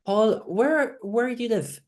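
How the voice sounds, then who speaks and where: A man's voice: high-pitched, animated, takes it slowly. A man hosting an interview podcast, introducing his guest with upbeat enthusiasm and asking personal questions. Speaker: podcast host.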